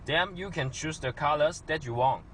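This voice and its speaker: A man's voice: a little high, moderate pace, a bit bright. Man narrating a product demo, faint noise. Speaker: product demonstrator. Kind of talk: scripted product demonstration narration.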